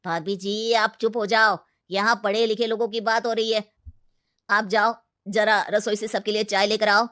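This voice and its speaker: A woman's voice: mid-range voice, little pitch movement, moderate pace, fairly bright tone, no room sound, lightly acted. Woman voicing a blunt male village elder, dry sound. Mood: chiding.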